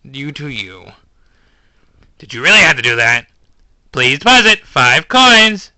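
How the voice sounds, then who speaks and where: A man's voice: fairly high pitch, sing-song, measured pace, pushed and bright, no room sound, exaggerated voice. A man voicing a patient male animatronic banjo player with a dry, mildly exasperated manner.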